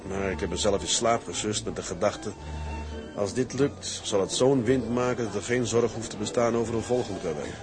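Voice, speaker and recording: A man's voice: fairly low pitch, moderate pace, natural voice. Man acting as thoughtful sea captain over music. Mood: sober, reflective. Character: weary, thoughtful male tugboat captain, plain-spoken seaman. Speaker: actor in an audio drama. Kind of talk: dramatic dialogue. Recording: music behind the voice.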